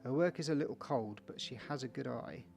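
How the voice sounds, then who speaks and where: Creaky-voiced man doing a game playthrough. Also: medium pitch, fairly quick, a little mellow, soft background music. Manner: chatty asides to viewers, stumbles over read text. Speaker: video game let's player. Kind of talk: casual commentary and reading game text aloud.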